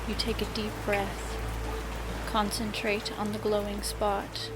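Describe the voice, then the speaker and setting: A woman hosts a scripted meditation podcast, guiding the listener through fantasy imagery. Her voice sits at a medium pitch and moves very slowly, with soothing, measured phrasing and long pauses. Loud music plays behind her throughout. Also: monotone. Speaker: podcast host and meditation narrator. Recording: loud music bed under the voice. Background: loud background music.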